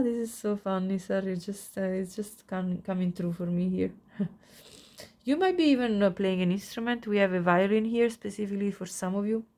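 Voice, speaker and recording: A woman with a cold and a nasal voice giving a pick-a-card tarot reading, gushing softly over the cards. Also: mid-range voice. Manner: soft, gushing, warm-hearted with frequent little laughs. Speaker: tarot reader.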